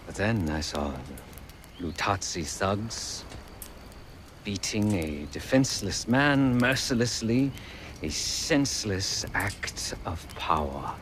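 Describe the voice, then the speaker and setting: An actor playing a solemn, remorseful ex-killer turned pacifist, speaking formally in a game cutscene. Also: male voice, on the low side, animated, fairly bright tone, a little hiss or hum. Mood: grave remorse. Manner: formal, measured, grave. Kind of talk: video game cutscene acting.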